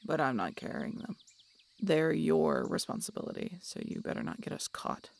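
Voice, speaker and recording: A woman plays a cynical, guarded female harpy in a fantasy audio roleplay. Her voice is heavily fried and gravelly, with pitch that moves around a lot. She sounds curt and sardonic, as if everything is a grudging chore. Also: huge pitch swings, moderate pace, full character voice. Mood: grudging, defensive.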